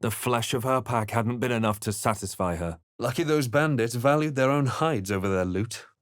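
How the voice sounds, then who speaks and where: A man voicing a brooding, wry fantasy adventurer hero in a video game, with measured, dramatic delivery. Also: medium pitch, no room sound, clean studio sound, natural voice. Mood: wry, wary. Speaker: video game player-character voice actor. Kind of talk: dramatic first-person fantasy game narration and voice lines.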